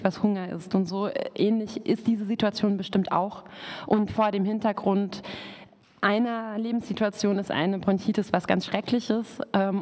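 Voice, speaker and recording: A young woman whose first language is Russian answers at a talk, pausing and restarting as she thinks aloud. Her voice sits in a middle register. The recording carries the long reverb of a dark event hall. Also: medium pitch. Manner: thoughtful, many fillers and restarts. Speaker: lecturer. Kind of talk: talk and discussion.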